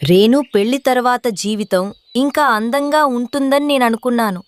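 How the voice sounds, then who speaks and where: A woman's voice: on the high side, moderate pace, close to an everyday voice. A woman voicing a sorrowful, weary young wife bird in a cartoon story, speaking plaintively. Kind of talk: cartoon moral story dialogue.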